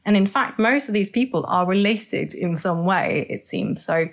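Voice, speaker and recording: A middle-aged woman from Norway, speaking as an expert guest in a conversational interview and explaining with lively enthusiasm. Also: medium pitch, normal speed. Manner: enthusiastic, explanatory, repeats words.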